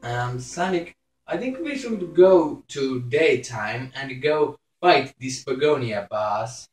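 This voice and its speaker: Slow-paced young man in an echoey room. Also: mid-range voice, animated. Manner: halting, profane. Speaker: YouTuber playing a video game. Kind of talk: improvised gameplay commentary. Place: indoor room, recording a screen. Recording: echoey room, loud game music.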